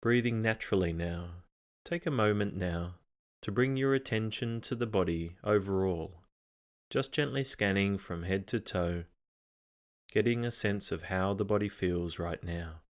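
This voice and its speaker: A man narrating a scripted guided meditation, giving gentle instructions in a calm, measured manner. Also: on the low side.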